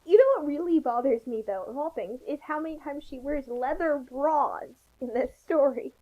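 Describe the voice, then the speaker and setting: A woman giving casual, joking commentary in a wry, halting, exasperated way. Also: sits high, animated. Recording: slightly dull lo-fi voice channel.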